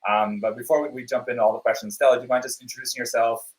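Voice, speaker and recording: A man's voice: mid-range voice, fairly even intonation, very fast. A man hosting a live webinar interview, upbeat and loose, with many fillers and restarts. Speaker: webinar host.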